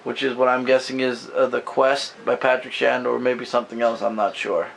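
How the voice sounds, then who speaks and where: Hoarse guy behind the camera, gravelly fry, rambling and fixing himself as he goes, bedroom. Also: mid-range voice, little pitch movement, normal speed, a little hiss or hum, low-quality recording. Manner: rambling, loose and self-correcting. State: losing his voice, hoarse. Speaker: comic review co-host behind the camera. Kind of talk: casual comic book review chat. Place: bedroom at home. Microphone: camera mic, speaking from behind the camera.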